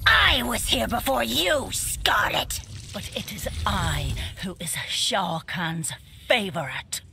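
A woman's voice: medium pitch, lively intonation, takes it slowly, gravelly, soft background music, full character voice. A woman playing a haughty, menacing blood-mage assassin in a fighting video game, speaking with contempt. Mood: haughty, defiant. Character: menacing, haughty female blood-mage assassin, fiercely devoted to her master. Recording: loud game ambience behind.

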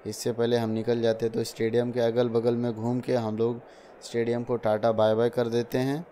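A man's voice: mid-range voice, mellow, a little hiss or hum. A young man narrating his daily vlog in a chatty voice-over, often addressing viewers as friends. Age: young adult. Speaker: vlogger.

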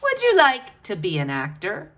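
A woman's voice: medium pitch, wildly swooping pitch, moderate pace, fairly bright tone. A woman reading a picture book aloud to children, with a sing-song, questioning delivery.

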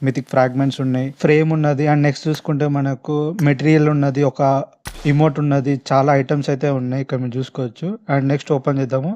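A man's voice: mid-range voice, level tone, very fast, dark tone, lo-fi sound. A man with a Telangana Telugu accent giving casual, chatty gameplay commentary to his viewers, speaking informally and directly. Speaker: gaming video host. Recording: faint background noise, clicking sounds.

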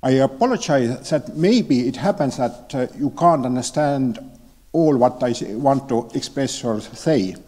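A man whose first language is Estonian speaks in an easygoing, joking way, now and then groping for a word. His tone is dark and mellow, and the sound carries a long hall reverb. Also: medium pitch. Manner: relaxed, joking, slightly halting. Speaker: member of parliament, panel speaker. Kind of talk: conference talk. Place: conference hall. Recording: hall reverb in a large venue.

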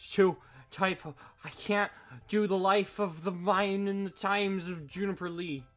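A man's voice: high-pitched, steady tone, measured pace, a bit bright, faint music behind. A man reading amateur drama lines with wry asides about the script. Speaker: amateur voice actor in a fan audio drama.

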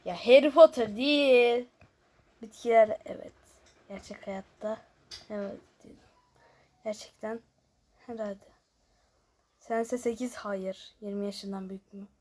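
A woman host casually chatting through a guessing game, shifting between muttered asides and chattier remarks to viewers. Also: on the high side. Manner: alternates muttered asides, often near-whispered, with louder chatty remarks to viewers. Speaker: host of a gaming video. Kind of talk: casual chat while playing a guessing game. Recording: noticeable background noise with clicking.

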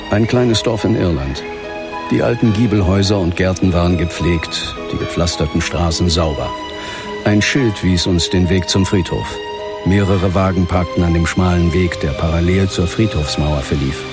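Grieving middle-aged ghost hunter, low somber voice, horror audio drama with music under it. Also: male voice. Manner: somber, measured. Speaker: lead actor and first-person narrator. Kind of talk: dramatic audio-play narration.

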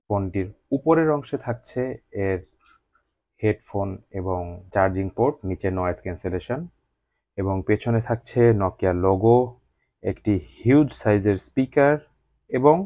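A man's voice: sits low, darkish tone, no room sound. A man with a Bangladeshi accent narrating a scripted product unboxing review as the channel's host.

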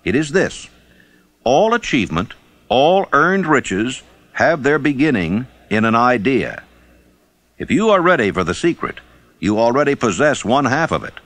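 A man reading scripted audiobook narration in a measured, formal and emphatic way. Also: mid-range voice, big pitch swings, a bit bright, dry recording.

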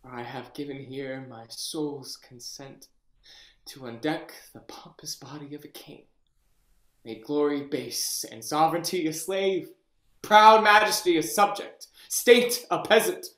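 An actor playing a deposed young king, bitter and theatrical, in a play reading over a video call, swinging from hushed whispers to anguished shouts. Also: on the high side, measured pace, roomy sound. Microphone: webcam on a video call.

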